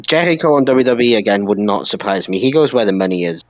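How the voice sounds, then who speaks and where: Dull-sounding, lower-pitched British man. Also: lively intonation, fast talker. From United Kingdom. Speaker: podcast co-host. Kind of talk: casual wrestling podcast chat.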